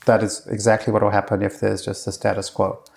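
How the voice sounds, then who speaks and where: Gravelly low-voiced man, chatting loosely. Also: completely flat delivery, fairly quick, darkish tone. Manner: rambling, heavy on fillers and restarts. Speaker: course creator being interviewed. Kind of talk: casual conversation.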